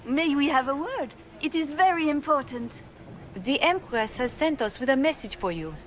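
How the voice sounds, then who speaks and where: A woman's voice: high-pitched, lively intonation, normal speed, slight background noise. An actress playing a formal, ingratiating female court messenger in a video game cutscene.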